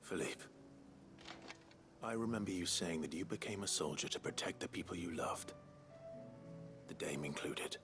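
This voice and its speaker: An actor playing a grave, formal fantasy hero in a video game, speaking in a measured, understated way. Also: male voice, low voice, music in the background, lightly acted. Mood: pensive, probing. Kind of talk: scripted game dialogue.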